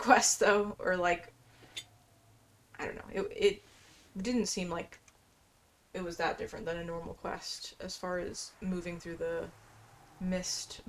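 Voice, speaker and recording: A woman doing casual gameplay commentary, chatty and musing as she plays and reads quest text aloud. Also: lowish voice. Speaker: gameplay streamer. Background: faint game music.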